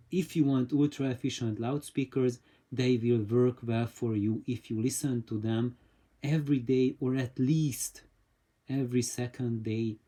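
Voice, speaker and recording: Guy doing a casual explainer vid, talks slow n mellow, medium pitch, kinda halting w restarts. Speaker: audio reviewer and vlog host. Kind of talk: informal explainer talk to viewers.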